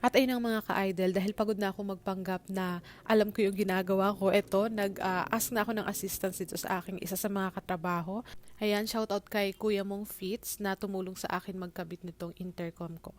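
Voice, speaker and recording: Fast-talking Filipino woman vlogger, noisy background. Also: medium pitch, fairly even intonation. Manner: informal Tagalog-English code-switching. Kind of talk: casual unboxing and review chat to viewers.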